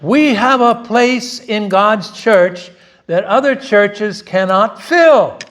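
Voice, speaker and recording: Loud, high-pitched preaching pastor. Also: male voice, takes it slowly. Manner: switches between Korean and English, emphatic preaching with rhetorical questions. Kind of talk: bilingual sermon. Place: church sanctuary.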